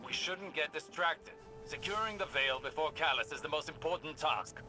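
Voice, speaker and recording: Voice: mid-range voice, moderate pace, over music, natural voice. An actor playing a stern, impatient older scholar-warlock in a video game, speaking in a clipped, grave, formal way. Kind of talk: video game radio dialogue.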